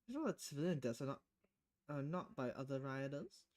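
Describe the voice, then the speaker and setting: A woman's voice: a bass voice, big pitch swings, fairly quick, a little mellow. A woman streaming a visual novel, reading the text aloud expressively and often stumbling and correcting herself. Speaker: video game streamer.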